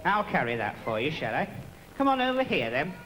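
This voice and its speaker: A man's voice: on the high side, a little fast. A man playing a pantomime dame, fussing over a child on stage and handing out sweets. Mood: coaxing. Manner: coaxing, fussing, animated with children. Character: kindly pantomime dame, middle-aged woman. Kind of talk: live stage comedy. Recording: noisy archive stage recording.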